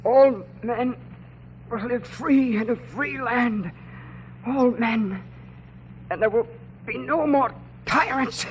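Casting: dying soldier lad, frail, high voice, slow halting delivery, idealistic. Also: male voice, highs cut off, full character voice. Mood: delirious, dying. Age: young adult. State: weak, fading. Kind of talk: 1940s-50s radio drama acting. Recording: faint, noisy old radio recording.

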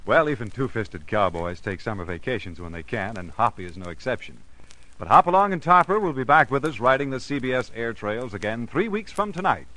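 A man's voice: mid-range voice, sing-song, brisk, pushed and bright. A man announcing in a formal, declamatory mid-century radio style, reading a script.

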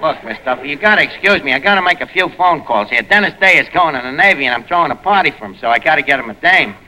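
A man's voice: a little high, expressive, fast, bright, forceful tone. A man playing a brash, slangy New Yorker who mangles fancy words in a 1940s radio comedy. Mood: wisecracking. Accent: New York. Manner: wisecracking, slangy, malapropisms. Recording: noisy old radio recording with studio audience.

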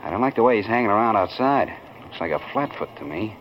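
Slangy hustler-crook played by a man, curt and commanding, very animated pitch, muffled vintage radio drama. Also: fast talker, natural voice. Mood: sly, menacing. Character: shrewd, tough small-time crook running a racket. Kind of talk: 1940s radio acting. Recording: muffled, noisy old radio recording.

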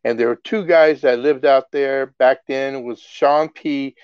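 A middle-aged man from the Philippines, a guest in a casual interview, telling stories with lively excitement. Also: mid-range voice, measured pace, clear, resonant tone, no room sound, dull audio. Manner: animated storyteller, gets excited and laughs while telling stories. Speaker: guest, music artist.

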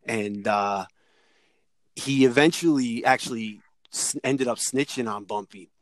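A man on a remote call chatting as a guest, telling stories in a measured, hesitant way with many fillers. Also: mid-range voice, dry recording. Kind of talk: casual podcast chat.

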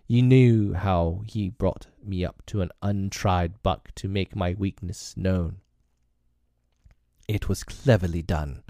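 Old male wolf leader, read by a man, weary, proud, formal, slow, dark-toned, dry. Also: sits low, dry recording. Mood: defiant. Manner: grave, formal, defiant. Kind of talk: storybook reading.

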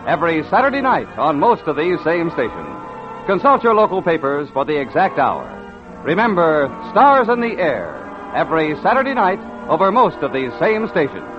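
A man making a scripted network announcement on old radio. His high voice is very animated, with music playing behind him. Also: moderate pace. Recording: muffled old radio recording.

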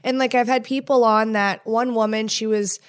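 A woman's voice: fairly high pitch, steady tone, moderate pace, clear, resonant tone. A woman talking casually and candidly in an interview, frank and swearing freely. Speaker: podcast host appearing as guest.

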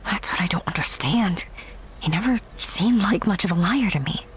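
A woman's voice: medium pitch, moderate pace, slight background noise, lightly acted. A young woman playing a candid, skeptical theater girl in a naturalistic audio drama, conversational but pointed. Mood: confused, doubtful.

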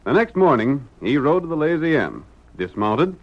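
A man's voice: a little high, sing-song, moderate pace, heavy background noise. A man with an American accent giving dramatic scripted narration for an old-time radio western. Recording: muffled old radio recording, music behind.

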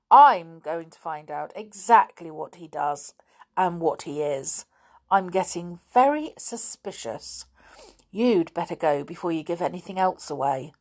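A woman voicing a shrewd, bossy schoolboy in a children's storybook, blunt and full of old-fashioned slang. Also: lowish voice. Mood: firm, suspicious. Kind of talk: children's storybook character reading.

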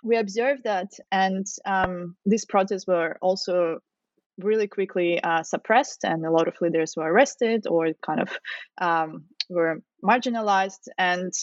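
A woman's voice: on the low side, a little slow, no echo, dull audio. A woman from Russia, a researcher in her thirties, answering interview questions thoughtfully with frequent fillers and restarts. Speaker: guest author, researcher.

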